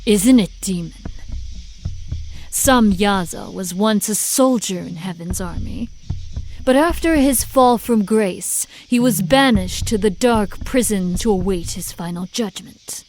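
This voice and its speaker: A woman's voice: medium pitch, lively intonation, unhurried, darkish tone, soft background music, low-quality recording. A woman playing a resolute, grave supernatural investigator in an audio drama, firm and knowing. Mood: grave, defiant.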